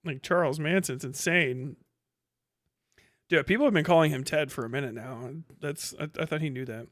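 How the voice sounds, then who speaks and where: A man's voice: on the high side, moderate pace, a bit bright, no echo, studio quality. A man hosting a casual livestream, commenting and reading posts aloud in a slangy, sweary, mocking way. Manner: often breaks into laughter. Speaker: livestream host.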